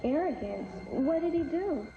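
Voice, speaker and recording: Actress as a young egyptian princess, high earnest voice, theatrical, echoey thin old-sounding audio. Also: female voice, animated, unhurried, gravelly, background noise, exaggerated voice. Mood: curious, indignant. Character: young Egyptian royal granddaughter, earnest, devout and spirited. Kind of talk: theatrical historical dramatization. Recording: echoey, thin narrow sound, music behind.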